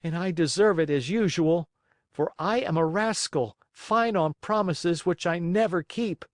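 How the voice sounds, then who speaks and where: A man voicing an excitable, pleading wooden puppet boy in a storybook reading. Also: sits high, a little slow, dry recording, studio quality, voice pushed up. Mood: rueful. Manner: eager, pleading.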